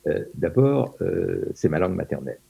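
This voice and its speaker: A man's voice: sits low, sing-song, moderate pace, gravelly, warm tone, breathy edge. An older man in his seventies from France, a Yiddish teacher being interviewed over a video call, hesitant with many fillers and restarts.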